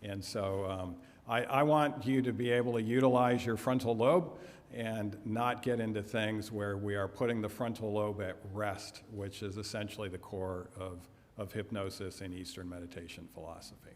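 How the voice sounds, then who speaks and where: A man giving a health lecture and answering audience questions, measured and trailing off softly at phrase ends. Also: fairly low pitch, fairly even intonation. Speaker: health seminar speaker. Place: church hall. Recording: echoey hall.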